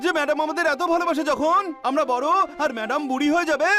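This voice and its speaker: A man's voice: very high voice, fast talker. A man voicing a young male cartoon animal, melodramatic, plaintive and full of self-pity. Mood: wistful, hurt. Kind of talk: Bengali cartoon dub acting.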